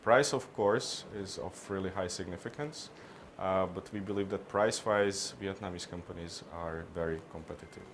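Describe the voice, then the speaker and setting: A man from Hungary, answering business questions in a measured, halting way, often restarting his phrases. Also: sits low, a little hiss or hum. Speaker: interviewee, business consultant. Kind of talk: on-camera business interview.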